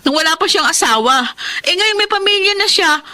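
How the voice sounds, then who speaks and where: High, bright, weary young Filipina wife. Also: female voice, animated, normal speed, full character voice. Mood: aggrieved. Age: young adult. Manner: respectful but firm, plaintive. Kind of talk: Filipino radio drama acting.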